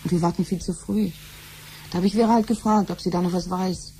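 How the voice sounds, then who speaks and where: A woman's voice: on the low side, fairly even intonation, fairly quick, dark tone, a little hiss or hum, close to an everyday voice, low-quality recording. An actress playing a pragmatic, conspiring middle-aged wife and mother in a science-fiction radio play. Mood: conspiratorial.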